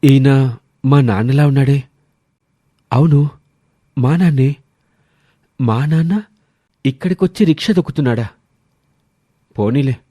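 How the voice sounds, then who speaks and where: A man's voice: medium pitch, mellow, no room sound, clean studio sound, lightly acted. A man voicing an ambitious, self-serving grown son in scripted story dialogue. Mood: cold, dismissive.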